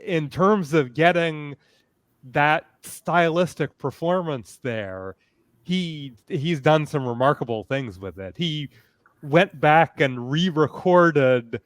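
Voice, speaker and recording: A man speaking as a panelist in a casual discussion, analytical and detailed in a measured way. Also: a little high, lively intonation, dry recording.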